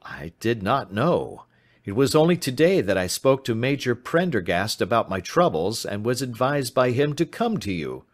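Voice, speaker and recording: A man voicing an anxious, despairing young gentleman in an audiobook, formal and earnest in manner. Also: mid-range voice, very animated, moderate pace, pitched above the performer's own voice, natural voice. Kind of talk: audiobook character voice.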